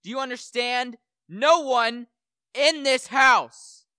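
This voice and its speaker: A man's voice: very high voice, slow pace, pushed and bright, smooth, no room sound, clean studio sound, heavily acted. A teenage male student actor playing a furious, reclusive widowed master of the house in a radio play, curt and commanding. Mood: bitter, stern.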